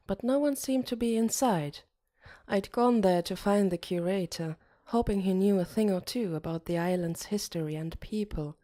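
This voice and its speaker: A woman's voice: lowish voice, warm tone. A woman reading a first-person novel as an audiobook narrator.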